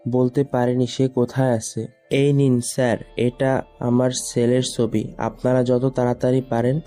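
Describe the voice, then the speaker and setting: Guy as worried old businessman dad in an audio story, dark soft tone, even pitch. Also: measured pace, soft background music, natural voice. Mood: worried, pleading. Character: worried elderly businessman father. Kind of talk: dramatized audio story acting.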